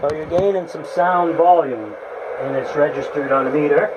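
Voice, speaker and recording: A man giving a casual, halting, folksy hands-on demonstration as a radio hobbyist, from the United States. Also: a little high, lo-fi sound. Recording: noisy home video with radio sound.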